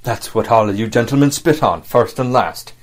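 A man's voice: mid-range voice, close to an everyday voice. A man voicing a sly, scornful, commanding sea cook for an audiobook, in speech with emphatic rustic phrasing. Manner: rustic sailor talk, emphatic, drawn-out delivery. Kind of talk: audiobook character acting.

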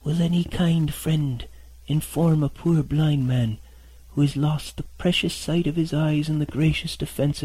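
A man voicing a cruel blind male pirate beggar, shifting from a high whining plea to hissed, whispered threats. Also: mellow, no echo, poor audio. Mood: whining, pleading. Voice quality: very husky, breathy. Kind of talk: audiobook character voice.

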